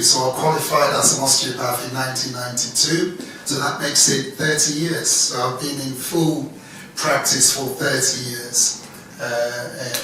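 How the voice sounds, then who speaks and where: A man in his fifties with a Ghanaian accent, giving an informal public talk as an osteopath in a halting, deliberate way. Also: mid-range voice, bright, forceful tone. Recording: echoey room, distant mic, faint noise.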